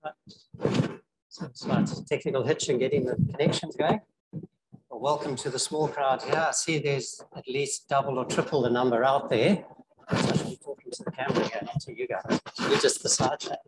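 A man's voice: medium pitch, big pitch swings, normal speed, heavy background noise. An older man giving an informal lecture in a lecture theatre, chatty and rambling with frequent restarts. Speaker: guest lecturer.

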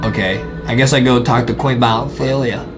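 A young man hosting a gaming video, giving excitable, teasing, slangy gameplay commentary. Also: medium pitch, moderate pace. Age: young adult. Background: music.

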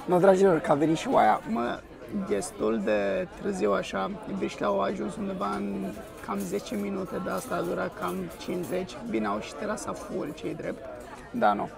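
A man's voice: high voice, creaky, over music. A young man, enthusiastically reviewing food to a camera on the table at an outdoor restaurant terrace. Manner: enthusiastic, exclaims while tasting. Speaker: food vlogger. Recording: crowd chatter on a terrace. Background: diners chattering.

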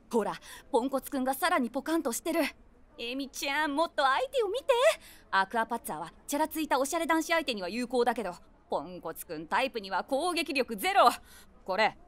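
An actress playing a nosy, imaginative middle-aged woman who narrates a comic audio drama with wry, theatrical asides. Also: fairly high pitch. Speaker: narrator and main character.

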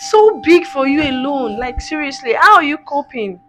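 A woman plays a bold, entitled mistress who barges in and demands attention, her voice high and bright. Light music runs behind her. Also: big pitch swings, moderate pace. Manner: pushy, entitled, says "like" a lot. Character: bold young mistress posing as in-law.

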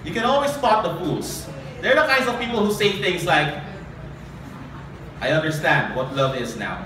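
A young man performing spoken word poetry live, earnest and deliberate, with dramatic pauses. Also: a little high, lively intonation. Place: small live open-mic event. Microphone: handheld and stand microphone, heard from a distant camera. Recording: distant, very echoey, noisy live recording.

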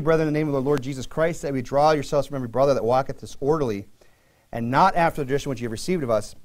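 A middle-aged man preaching a sermon from a pulpit on a church stage, reading scripture aloud and restarting phrases as he explains. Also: medium pitch. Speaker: pastor. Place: church stage before a dark curtain.

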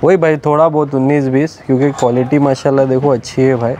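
A man's voice: medium pitch, level tone, fast talker, dark tone, a little hiss or hum. A man selling goats at an outdoor farm, talking casually and answering briefly and agreeably. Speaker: livestock seller. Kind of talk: casual sales talk. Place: outdoor goat farm yard.

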